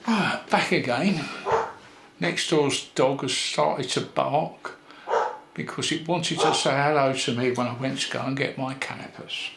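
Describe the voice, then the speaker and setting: An older man giving a casual hands-on repair tutorial, thinking aloud in an unhurried way with pauses. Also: mid-range voice, vocal fry. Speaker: electronics repair presenter. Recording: roomy echo with faint background noise.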